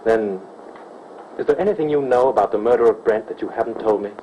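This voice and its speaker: A man's voice: mid-range voice, fast talker, natural voice, rough recording. Guy doing an earnest young sleuth, mid voice, old film hiss. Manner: earnest, gently joking. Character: devoted young male amateur sleuth. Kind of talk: 1930s film acting.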